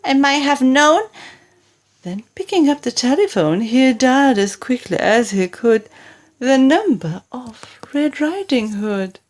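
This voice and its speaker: A woman reading comic rhyming verse for children as scripted narration, with theatrical, deliberate phrasing. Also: a little high, very animated, darkish tone. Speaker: storybook reader.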